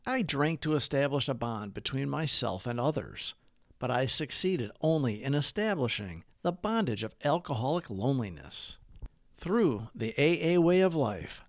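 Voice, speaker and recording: Man reading devotionals with lively intonation. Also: fairly high pitch, no room sound, studio quality. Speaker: host of recovery reading channel.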